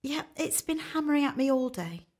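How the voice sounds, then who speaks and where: A woman's voice: high-pitched, big pitch swings, normal speed, a little mellow. A woman playing a sweetly deceitful, secretly impatient wife in a radio play, with a coaxing manner.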